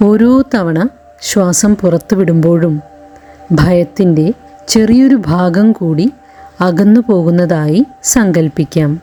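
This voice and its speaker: A woman leads a guided meditation in a soft-edged, mellow voice that sits fairly low. She is very unhurried, leaving long pauses between short, soothing phrases. Music plays behind her. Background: background music.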